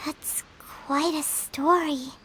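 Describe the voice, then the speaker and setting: A woman voicing a tiny, childlike fairy companion in a video game, squeaky, naive and earnest. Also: steady tone, drawn-out words, fairly bright tone, exaggerated voice, rough recording. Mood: puzzled. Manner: simple, talks in third person. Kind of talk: video game story dialogue.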